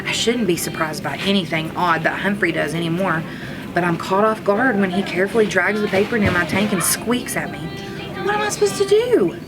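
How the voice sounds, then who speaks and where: A woman reading a children's storybook aloud like a teacher, in an expressive manner. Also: lowish voice, brisk, over loud music, rough recording. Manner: expressive, acts out characters' lines.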